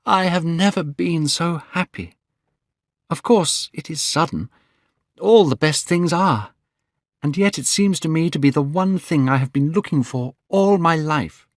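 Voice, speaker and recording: A man voicing an eager, lovestruck young man in a spoken storybook, pitched high and gushing. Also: measured pace, no echo, studio quality, lightly acted. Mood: excited, gushing.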